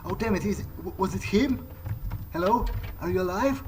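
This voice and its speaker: A man's voice: high voice, normal speed, a little hiss or hum. A man commenting in character during a video game playthrough, musing aloud in a hesitant way. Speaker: gamer playing in character. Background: loud game music.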